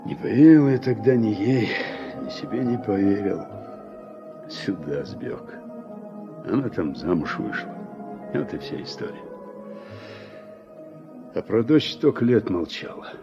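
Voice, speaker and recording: An actor playing a weary, regretful middle-aged war veteran and farm worker in a radio drama, reflective and plain-spoken. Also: male voice, on the low side, animated, a little slow, pauses often. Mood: wistful, hurt. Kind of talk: Soviet radio drama acting. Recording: muffled old radio recording, music behind.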